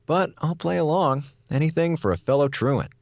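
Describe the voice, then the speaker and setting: An actor playing a flippant, sarcastic slacker communications officer on a space station in an audio drama. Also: male voice, on the high side, lively intonation, normal speed, vocal fry. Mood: wry, sarcastic.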